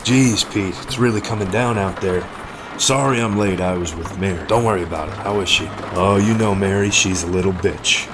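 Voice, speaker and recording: A man playing a gruff detective in a noir parody, quietly confiding. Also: low-pitched, moderate pace, heavy background noise, close to an everyday voice.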